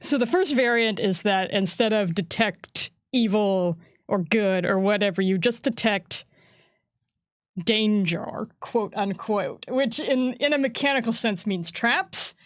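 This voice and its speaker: A woman chatting casually about tabletop game rules, laughing often and acting out quick voices. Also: medium pitch, no echo, studio quality. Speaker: game design staff co-host.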